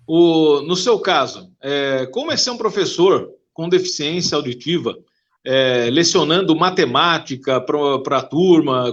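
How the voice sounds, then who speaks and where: A man's voice: fairly high pitch, lo-fi sound. A man hosting a live interview over a video call, asking loose, conversational questions. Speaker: host.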